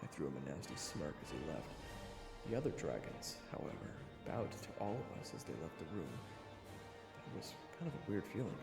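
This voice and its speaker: A man's voice: low voice, big pitch swings, brisk, vocal fry. A man narrating a scripted first-person fantasy story dramatically, with wry asides. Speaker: narrator of an audio roleplay. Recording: reverberant hall effect, loud music bed.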